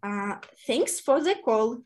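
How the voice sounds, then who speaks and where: A woman in her thirties hosting an informal tutorial, speaking deliberate, hesitant non-native English. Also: a little high, very animated, darkish tone. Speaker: host of a ham radio tutorial series. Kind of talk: informal language lesson, presenting to camera. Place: small indoor room with whiteboard.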